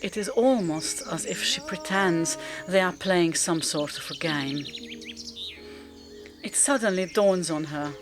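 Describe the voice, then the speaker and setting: A woman reads scripted radio drama narration. Her voice is on the low side and she takes a very slow pace. Music plays clearly behind her.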